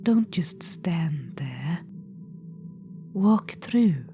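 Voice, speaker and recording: A woman voicing a haughty ancient witch-queen trapped in an orb, speaking in a soft, regal, condescending whisper for an ASMR roleplay. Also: fairly low pitch, animated, drawn-out words, a little hiss or hum.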